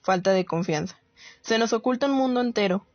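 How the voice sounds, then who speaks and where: A woman playing a reflective writer, narrating a radio play in a solemn, deliberate, literary way. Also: fairly high pitch, no room sound, muffled recording, close to an everyday voice. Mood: solemn reflection. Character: reflective woman writer narrating her search for women's war stories.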